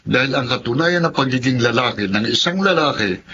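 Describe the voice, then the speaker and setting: An eager young Filipino kid brother in a radio drama, a little sulky. His voice is bright and forceful, on muffled audio with faint noise. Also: mid-range voice, animated, fairly quick. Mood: teasing.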